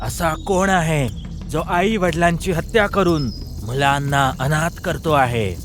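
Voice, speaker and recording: A man voicing a stern lion king in an animated fable, with a commanding manner. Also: on the high side, slow. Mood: worried. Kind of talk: dubbed animated moral fable.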